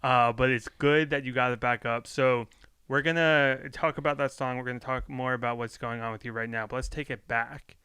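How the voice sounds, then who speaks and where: A man hosting a podcast, asking questions in a chatty, upbeat way with quick asides. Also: mid-range voice, steady tone, pushed and bright, smooth, dry recording, studio quality. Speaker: podcast host. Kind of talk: casual interview.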